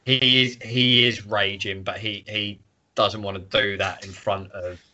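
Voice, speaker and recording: Bright-voiced man chatting, slightly dull recording. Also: lowish voice, little pitch movement, measured pace. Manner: sweary, irreverent. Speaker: podcast host. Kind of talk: casual book discussion chat.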